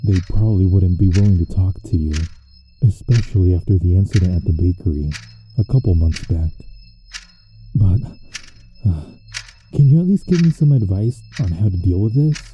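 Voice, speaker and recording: Low-voiced, dryly caring supervillain played by a man. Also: normal speed, dark tone, dull audio, faint music behind, natural voice. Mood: wry, uneasy. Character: supervillain man gently caring for his exhausted hero nemesis. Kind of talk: ASMR audio roleplay acting.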